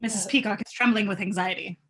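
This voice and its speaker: A woman narrating stage directions from a script at an informal table read, with a husky voice. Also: medium pitch, fairly even intonation, quick pace, fairly bright tone.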